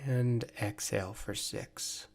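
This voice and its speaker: A man guiding a body scan meditation, giving gentle, measured instructions with long pauses. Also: lowish voice, steady tone, gravelly, slight background noise. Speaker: meditation guide, mental performance coach.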